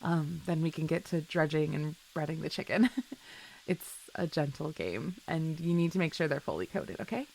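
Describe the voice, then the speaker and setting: A woman's voice: low voice, moderate pace, gravelly, a little mellow, slight background noise, poor audio. A woman playing a chill, flirty best friend with a secret crush in an intimate audio roleplay, speaking in a giggly, hesitant, affectionate way. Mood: flustered, playful. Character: chill, flirty female best friend shyly confessing love. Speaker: voice actor.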